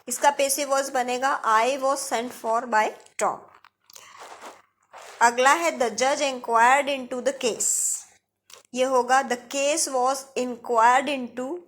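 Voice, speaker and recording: A woman with an Indian accent giving an instructional grammar lesson, explaining step by step and repeating key points. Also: on the high side. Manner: didactic, explains step by step with repetition. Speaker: English grammar teacher.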